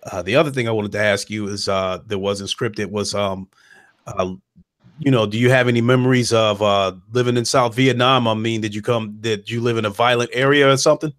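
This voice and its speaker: Low-voiced man hosting, dry sound. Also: brisk, no echo. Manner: relaxed, hesitant, many "um"s. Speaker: host. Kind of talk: casual interview.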